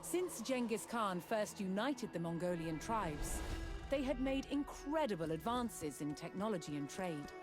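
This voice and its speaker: A woman delivers scripted documentary narration in a measured, dramatic storytelling manner. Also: medium pitch, lively intonation. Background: background music.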